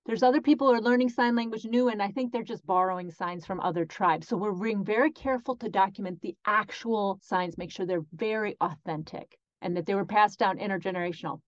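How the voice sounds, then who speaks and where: Woman interpreter, mid pitch, measured phrasing, dry and slightly dull recording. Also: no room sound. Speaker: sign language interpreter voicing the guest.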